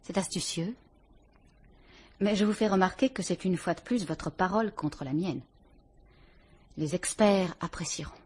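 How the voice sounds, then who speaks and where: A woman's voice: fairly low pitch, unhurried, pauses often, a little mellow, slight background noise, lightly acted. A woman playing a cool, defiant murder suspect, speaking in an icy, haughty, sarcastic manner in a vintage radio drama.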